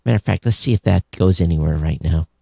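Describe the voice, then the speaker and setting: A man's voice: low voice, fast talker, creaky, warm tone, breathy edge, no room sound, studio quality. A middle-aged man co-hosting a podcast, chatting casually about tech news in a blunt, sarcastic way. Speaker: podcast co-host.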